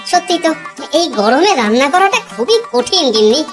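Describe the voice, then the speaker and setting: Squeaky, glib cartoon court wit. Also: male voice, big pitch swings, a little fast. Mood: concerned, placating. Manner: glib, mock-polite, coaxing. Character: clever, teasing court wit, henpecked husband. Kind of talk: animated cartoon dialogue. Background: children's cartoon music.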